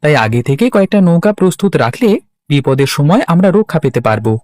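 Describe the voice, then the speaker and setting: A man's voice: fairly high pitch, big pitch swings, fast, a little mellow, no room sound, studio quality. A man voicing an earnest, polite young village lad in a cartoon, helpful and concerned. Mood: earnest, planning. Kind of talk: Bangla cartoon voice acting.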